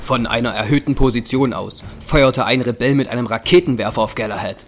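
A man's voice: medium pitch, fairly quick. A man giving dramatic scripted narration as the storyteller of an audio drama. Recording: music bed behind narration.

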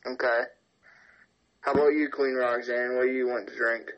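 A man voicing an obliging, slow-witted hillbilly man who hesitates and stumbles over words. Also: medium pitch, level tone, muffled recording, slight background noise, close to an everyday voice.

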